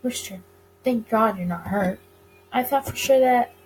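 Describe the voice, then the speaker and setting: A woman's voice: on the high side, measured pace, a little hiss or hum, natural voice, poor audio. A woman voicing an earnest, protective male police officer in a visual novel, urgent and blunt. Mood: relieved.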